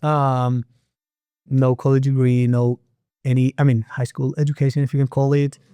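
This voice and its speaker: A man's voice: mid-range voice, level tone, normal speed, mellow, smooth, dry recording, studio quality. A man from Israel with an Israeli accent, a native Hebrew speaker, explaining lending as a podcast guest with frequent restarts. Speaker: private real estate lender, guest. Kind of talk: casual podcast interview.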